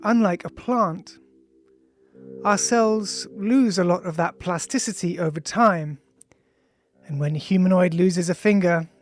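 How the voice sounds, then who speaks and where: An author of unclear gender reads their own scientific audiobook in a measured way, speaking punctuation aloud. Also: mid-range voice. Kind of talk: audiobook reading of a scientific text. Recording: music behind the voice. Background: ambient music with bell-like tones.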